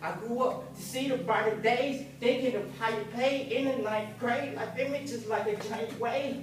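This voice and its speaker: A man's voice: very high-pitched, steady tone, normal speed. A young man performing his own rap with a sung hook at a graduation ceremony. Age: young adult. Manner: rhythmic rapping with sung hook. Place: large hall at a graduation ceremony. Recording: echoey hall from far off.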